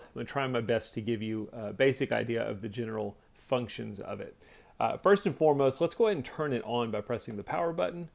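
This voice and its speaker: A man with a mid-pitched voice that often settles into creak talks through a product demonstration. His delivery is plainspoken and casual, explaining each feature in simple steps. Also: a little mellow. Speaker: product reviewer.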